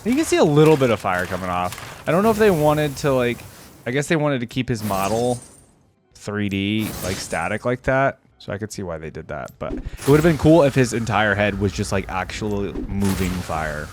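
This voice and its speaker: A man's voice: lowish voice, animated, moderate pace, background noise. A man giving casual reaction commentary on video game skins, rambling and interjecting as he thinks aloud.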